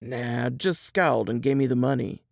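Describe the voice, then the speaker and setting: A man playing a protective, plain-spoken Southern piano player in a dramatized audiobook, with folksy period dialect and a creaky voice. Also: mid-range voice, normal speed, dry recording, clean studio sound, natural voice. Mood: resigned, matter-of-fact.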